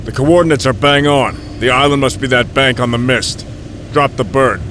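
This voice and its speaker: An actor playing a terse, commanding wartime military pilot who leads a commando squad in an audio drama. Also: male voice, medium pitch, moderate pace, fairly bright tone, a little hiss or hum, close to an everyday voice. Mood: wary, focused.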